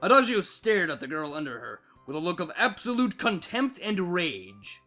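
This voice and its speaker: A man narrating a scripted story with dramatic, expressive delivery. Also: high voice, moderate pace. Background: background music.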